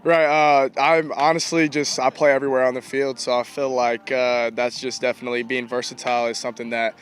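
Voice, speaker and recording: Young man, college athlete interviewee. Average pitch, casual and halting, recorded outdoors with faint noise. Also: level tone. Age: young adult. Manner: informal, halting, repeats himself. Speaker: college baseball player being interviewed. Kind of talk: casual post-game style interview answers. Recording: clear outdoor ambient noise.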